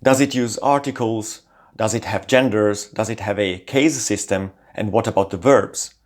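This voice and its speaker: Lower-voiced man. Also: moderate pace. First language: Italian. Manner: lively, shifting intonation with frequent small fillers. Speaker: language-learning channel host. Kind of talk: scripted talk to camera.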